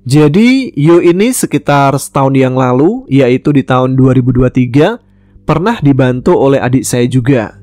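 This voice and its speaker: A man from West Kalimantan, Indonesia, telling a true-life ghost story in a calm, measured way, voicing the dialogue plainly. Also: medium pitch, dry recording, soft background music. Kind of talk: first-person ghost story narration.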